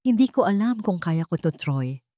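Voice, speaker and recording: A woman voicing a grieving, vengeful young mother who poses as a gentle teacher, in a Filipino-accented audio drama. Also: on the low side, lively intonation, moderate pace, dry recording, studio quality, close to an everyday voice. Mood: torn. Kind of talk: dramatic audio story acting.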